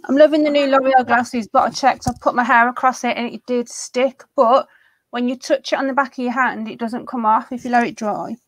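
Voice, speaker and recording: Chatty woman co-host, kinda high voice, talking makeup, relaxed. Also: a little fast, dry recording, low-quality recording. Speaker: live stream co-host. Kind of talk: casual chat about makeup.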